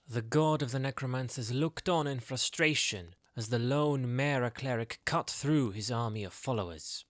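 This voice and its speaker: A man's voice: mid-range voice, normal speed, no echo, studio quality. A man narrating a scripted fantasy audio drama in a dramatic storytelling style.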